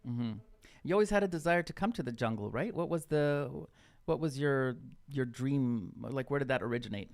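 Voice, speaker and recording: A man's voice: mid-range voice, moderate pace. A man co-hosting a casual radio podcast, talking loosely with lots of fillers and restarts. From Brazil. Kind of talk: casual chat.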